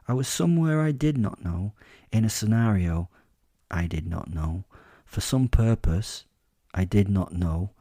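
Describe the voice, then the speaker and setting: A man's voice: low voice, mellow, dry recording, studio quality. A man playing a sly, sadistic, self-assured captive werewolf who tells his story in a confiding, gloating way for a horror comedy audio drama.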